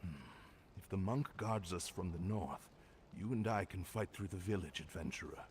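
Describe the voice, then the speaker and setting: An actor playing a grim, weary guard in a video game, speaking gravely and earnestly. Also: male voice, low-pitched, normal speed, lightly acted. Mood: resolute. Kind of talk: dramatic video game acting. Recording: faint background noise.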